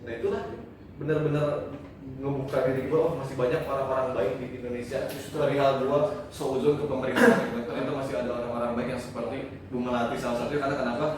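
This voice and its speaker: A man's voice: mid-range voice, little pitch movement, fast, noisy background. Joking Sundanese-accented man, echoey room. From West Java. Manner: joking, slangy, repeats himself. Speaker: guest. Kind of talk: casual chat. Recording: echoey room, distant mic.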